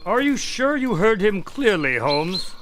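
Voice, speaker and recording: A man plays a detective's doctor companion in a radio drama. His voice is fairly high and bright with lively intonation, courteous yet skeptical and full of questions. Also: a little slow, soft background music, exaggerated voice. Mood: puzzled, curious. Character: male doctor, detective's polite, skeptical sidekick. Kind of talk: audio drama acting.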